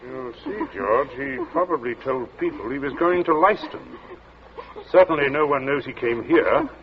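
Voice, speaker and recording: A domineering middle-aged father, fairly high for a male voice and sweeping widely in pitch. He is clipped, cold and commanding in 1940s radio style, on a dull old recording. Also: very animated, moderate pace, a little hiss or hum. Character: stern, domineering, cold calculating father, a Major. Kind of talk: 1940s radio drama acting.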